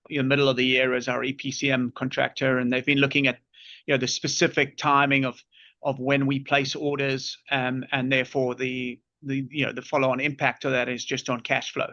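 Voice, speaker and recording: A man speaking as a finance executive on an earnings call, halting and often repeating words as he explains. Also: mid-range voice, fairly bright tone, dry recording. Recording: dull, band-limited conference call audio.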